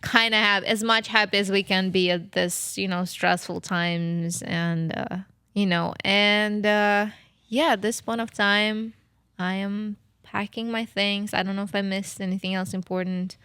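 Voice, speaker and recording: A woman from Ukraine with a Ukrainian accent, telling her own story casually and a bit haltingly on a podcast. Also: mid-range voice, steady tone, sharp tone, clear, resonant tone, dry recording, studio quality.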